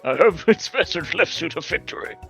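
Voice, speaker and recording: Casting: man as smug male student rival, highish creaky voice, forceful and theatrical, comic game. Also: lively intonation, brisk, soft background music. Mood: smug, pompous.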